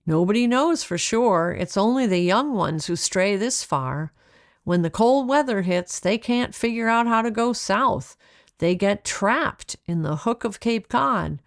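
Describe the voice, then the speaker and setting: A woman's voice: mid-range voice, lively intonation, a little slow, dry recording, clean studio sound, pitched above the performer's own voice. A woman voicing a kindly, knowledgeable male wildlife rescuer in a children's storybook, reassuring and explanatory. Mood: explaining. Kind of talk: children's storybook read-aloud.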